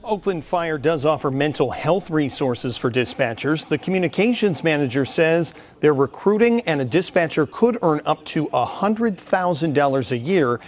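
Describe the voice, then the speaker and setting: Higher-pitched American male news reporter. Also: expressive, moderate pace, hardly any pauses. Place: TV station newsroom.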